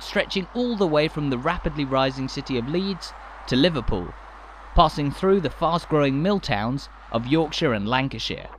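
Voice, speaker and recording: A man narrating a walking tour in a measured, enthusiastic guide's manner, from a scripted voice-over. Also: on the high side, a little hiss or hum.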